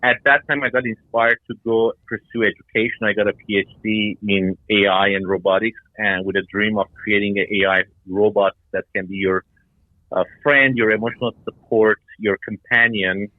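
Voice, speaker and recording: Middle-aged male founder as interview guest, lower-pitched voice, narrow telephone-grade sound, explaining his work. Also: steady tone, moderate pace, no room sound. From Iran and Denmark. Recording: tinny phone-like line.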